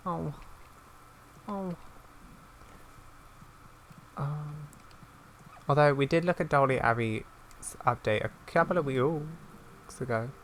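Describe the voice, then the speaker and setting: A woman's voice: low voice, low-quality recording. A woman doing chatty let's-play commentary, exclaiming and talking to the characters on screen. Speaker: gaming video creator. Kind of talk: let's-play commentary, reading game dialogue aloud. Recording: clear background noise with game ambience.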